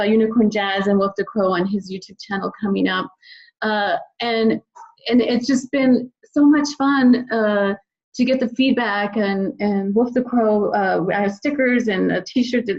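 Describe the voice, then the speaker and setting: A muffled, lo-fi recording carries a middle-aged woman's voice at an average pitch. She is a talkative podcast guest, speaking conversationally and with enthusiasm. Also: a little mellow. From United States. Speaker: podcast guest, children's book author. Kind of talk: conversational interview.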